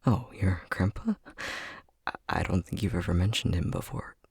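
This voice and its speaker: A man acting a husky, flustered low-voiced boyfriend. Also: moderate pace, no room sound, studio quality, full character voice. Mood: gentle, sympathetic. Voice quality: breathy, husky. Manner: hesitant, stammering, soft-spoken. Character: flustered, tender young boyfriend newly discovering magic powers. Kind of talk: ASMR roleplay acting.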